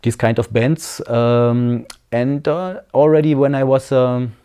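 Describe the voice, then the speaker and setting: A man in his forties from East Berlin with a German accent, answering interview questions slowly in English, pausing often with ums and uhs as he searches for words. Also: medium pitch, steady tone, mellow, clear, resonant tone. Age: 40s. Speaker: interviewee, noise musician.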